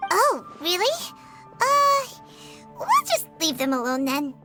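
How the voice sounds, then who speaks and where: A woman's voice: very high voice, wildly swooping pitch, slow, over loud music, exaggerated voice. An actress voicing a friendly, sincere young girl in an anime game, earnest and supportive. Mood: excited, curious. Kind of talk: anime video game voice acting.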